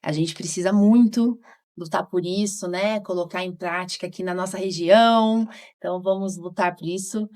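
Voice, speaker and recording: A woman's voice: fairly low pitch, steady tone, moderate pace, a little mellow. A woman, hosting a casual podcast and often adding informal tag questions. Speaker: podcast host, physician.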